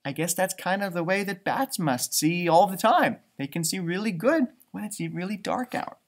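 A man in his thirties with an American accent reading a picture book to children, adding chatty, wondering asides in an expressive way. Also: high-pitched, normal speed. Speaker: storybook reader for children. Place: indoors, a library with bookshelves.

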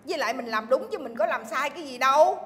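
A woman's voice: sits high, lively intonation, fast, long reverb tail, noisy background. An actress playing a friend who coaxes and soothes a crying woman. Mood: soothing. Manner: coaxing, soothing.

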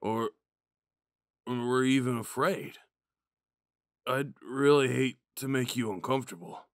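Man acting a slow-talking drunk himbo jock. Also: mid-range voice, dry recording, studio quality, natural voice. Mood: flirty, concerned. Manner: drunken, halting, goofy, rambling. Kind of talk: ASMR roleplay acting, speaking to the listener.

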